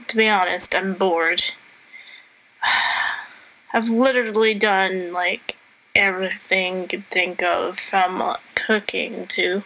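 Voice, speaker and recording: Slow, bored, jaded caller. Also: female voice, medium pitch, fairly bright tone, poor audio. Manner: drawling, weary, hesitant. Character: bored, jaded woman sick of people. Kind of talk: acted casual voicemail.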